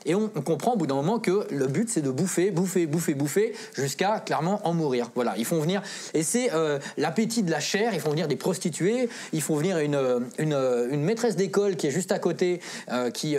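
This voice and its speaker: Female film columnist for a geek panel show: low, raspy-edged voice, casual and emphatic. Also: animated, fairly quick, darkish tone. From of Italian origin. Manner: emphatic, repetitive, slangy. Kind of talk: animated chronicle in casual panel talk.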